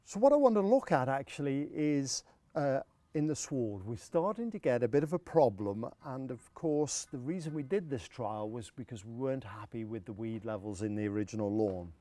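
A middle-aged man talks through a lawn-care demonstration while kneeling on grass in a garden. His voice is mellow and low in brightness, recorded dry and close. He explains in a practical, unhurried way, often pausing on an "uh". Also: animated, no echo. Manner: explanatory, practical, with frequent "uh" fillers. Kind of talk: outdoor how-to demonstration. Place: large garden lawn outdoors.

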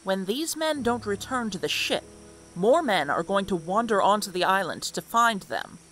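Woman acting a lively, practical young seafarer. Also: mid-range voice, normal speed, a little hiss or hum. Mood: warning. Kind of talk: fantasy audio drama acting.

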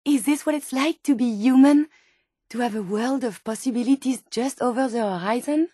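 High-pitched, earnest French robot scientist. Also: female voice, normal speed, warm tone, husky, no room sound, studio quality. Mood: earnest, curious. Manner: formal, precise wording. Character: earnest, polite female robot scientist, curious and sensitive. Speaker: video game voice actor. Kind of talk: video game character dialogue.

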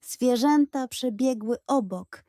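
A woman narrating a scripted bedtime story for children in an expressive storytelling style. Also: on the high side, slow pace, no echo.

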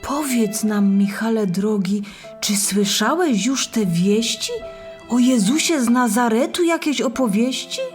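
A woman playing an eager, caring friend in a rhymed Bible audio drama for children, encouraging and expressive. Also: a little high, measured pace, soft background music.

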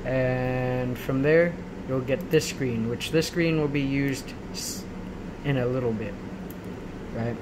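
A man giving a casual, rambling software tutorial, often checking in as he goes, recorded at home on a handheld camera. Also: medium pitch, slow pace. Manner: rambling, checks in with frequent "right?". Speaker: tutorial host. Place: indoors in a room at home. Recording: noisy amateur home recording. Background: clear steady background noise.

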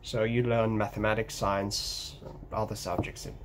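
A young man with an Egyptian accent, whose first language is Egyptian Arabic, talks to camera in a home vlog, pausing often with ums and restarts. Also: on the low side, flat delivery, a little hiss or hum. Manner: hesitant, many ums and restarts, gestures while talking. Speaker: language-learning vlogger. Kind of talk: casual talking-head vlog. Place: small room at home by a window.

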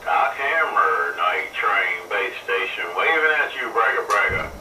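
A man's voice: fairly low pitch, sing-song, unhurried. A man chatting and calling out as a radio operator, in a distant, echoey and very noisy recording. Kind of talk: casual radio operator chat and call-outs.

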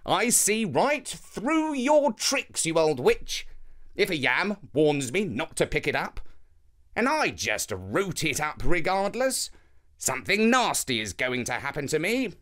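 Storybook trickster, acted by a male narrator: arrogant, scornful, suspicious, raised pitch, slow stretched words. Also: very animated, fairly bright tone. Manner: brash, scornful, drawn-out.

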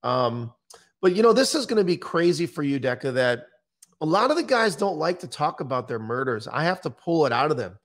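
A man's voice: fairly high pitch, very animated, brisk. Blunt middle-aged American man. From United States. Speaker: podcast guest, true-crime interviewer and author. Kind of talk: conversational interview talk. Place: indoor room, on a video call. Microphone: microphone on boom arm.

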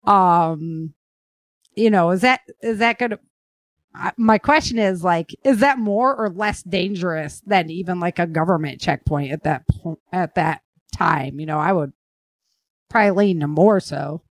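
A woman's voice: fairly low pitch, measured pace, dry recording, clean studio sound. An American woman hosting a podcast, chatting informally and thinking aloud as she puts questions to a guest. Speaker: podcast host. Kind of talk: casual interview chat.

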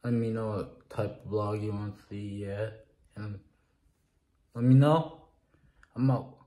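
A young man records a casual vlog on a phone held at arm's length in a small living room. He talks slowly in a lowish voice and rambles, circling back to the same filler phrases. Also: steady tone, dark tone. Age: young adult. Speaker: vlogger. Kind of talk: casual vlog talk to camera. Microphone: phone camera at arm's length.

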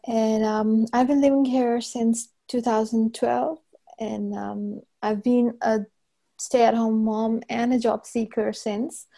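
Gravelly-voiced woman from Pakistan. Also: a little high, little pitch movement, takes it slowly, dark tone. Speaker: panelist, master's student. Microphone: video call.

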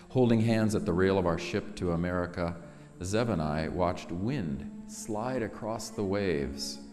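A man in his fifties giving a solemn, measured poetry reading with dramatic pauses, in a large sanctuary. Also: sits low, a little mellow. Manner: measured, dramatic pauses between short phrases. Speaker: author reading own work. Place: large synagogue sanctuary, indoors. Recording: long reverberant hall, music behind.

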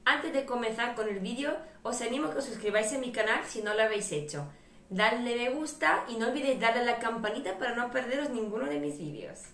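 A woman's voice: fairly high pitch, fast talker, fairly bright tone. A woman in her thirties from Bulgaria chatting casually and enthusiastically to camera as a vlogger. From Sofia, Bulgaria. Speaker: vlogger, channel host. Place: small bare-walled room indoors. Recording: roomy echo, distant microphone.

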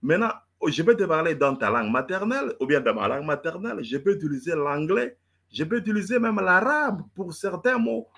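A man's voice: on the high side, big pitch swings, fairly quick, a bit bright. A man debating in French, which is not his first language, stammering and repeating words as he lectures. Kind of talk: heated live debate.

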